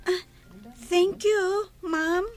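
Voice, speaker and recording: A woman's voice: very high voice, steady tone, drawn-out words, full character voice. A woman playing a sweet, eager young daughter in a radio drama, polite and wide-eyed in her delivery. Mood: polite, curious. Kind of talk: Ilocano radio drama acting.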